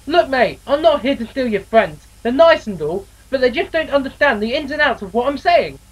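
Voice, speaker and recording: A man's voice: very high-pitched, lively intonation, a little fast, breathy edge, dull audio, pitched above the performer's own voice, exaggerated voice. A man voicing a cheerful, chatty male steam engine who spins folksy yarns and calls others mate. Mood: pleading.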